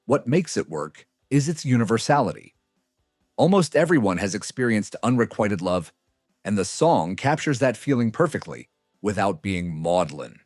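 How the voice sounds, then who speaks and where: A man's voice: on the low side, big pitch swings, normal speed, a little mellow. A man reading a scripted music countdown as its narrator.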